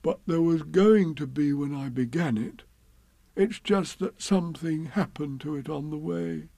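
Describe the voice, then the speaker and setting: A man voicing a slow, gentle, thoughtful bear in a storybook reading. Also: fairly high pitch, creaky, no echo. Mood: musing.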